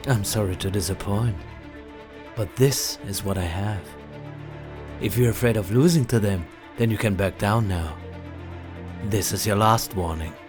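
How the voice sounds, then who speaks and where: A man's voice: on the low side, unhurried, pauses often, natural voice. A man playing a determined, earnest hero duelist in a fan audio drama. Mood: resolute, stern. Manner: earnest, resolute. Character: determined, brooding male hero duelist, kind to companions. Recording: music behind the voice.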